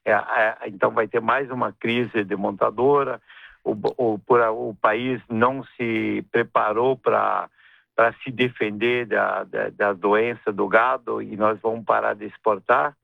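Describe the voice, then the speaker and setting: A middle-aged man with a gaúcho accent from southern Brazil, a businessman guest talking in a radio interview, rambling and halting with many restarts and tag questions. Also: lowish voice, steady tone, creaky, no echo. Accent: Gaúcho Portuguese. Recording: tinny phone-line radio sound.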